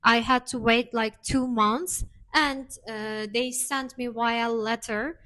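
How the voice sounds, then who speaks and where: A woman's voice: a little high, steady tone, takes it slowly, pushed and bright. A woman from Turkey, co-hosting a casual chat and explaining things with frequent hesitations. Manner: explanatory, many hesitation fillers. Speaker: co-host.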